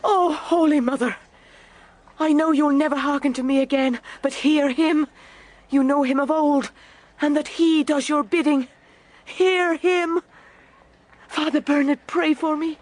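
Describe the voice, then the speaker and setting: An old woman racked by guilt in a radio drama. Her voice is high and drawn out slowly. She begs fervently, gasping as she pleads. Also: fairly even intonation, darkish tone, no room sound. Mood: desperate pleading. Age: older. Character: anguished guilt-ridden old Irish woman penitent begging for prayer.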